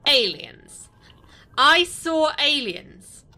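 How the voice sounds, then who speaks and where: A woman's voice: high voice, very animated, very slow, sharp tone, heavily acted. A woman with a British accent playing a sceptical, bewildered ordinary young woman in an audio drama, wry and colloquial. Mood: groggy, baffled. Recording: music under the voice.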